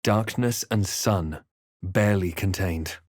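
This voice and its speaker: Fantasy game narrator: solemn male adventurer telling his own dark tale, lowish voice, dry close sound, measured literary delivery. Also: no echo. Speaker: narrating player character. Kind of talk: scripted first-person fantasy game narration.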